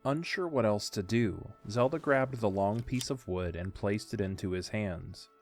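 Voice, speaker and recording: A man's voice: lowish voice, a little slow. A man reading scripted narration for an audio drama story. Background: faint music.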